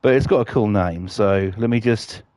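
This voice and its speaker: A man's voice: fairly low pitch, moderate pace, a little mellow, no room sound. A man with a cold, chatting casually and swearing while streaming a game, with lots of fillers. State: has a cold.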